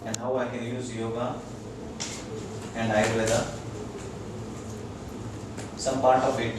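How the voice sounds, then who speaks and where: A man with an Indian accent gives an informal educational talk as a doctor, explaining in a halting way to a group. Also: mid-range voice, little pitch movement. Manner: halting, explanatory, checks listeners follow. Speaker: doctor giving a wellness lecture. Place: echoey room with a small audience. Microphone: distant from the speaker. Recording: very echoey room, distant mic, loud noise.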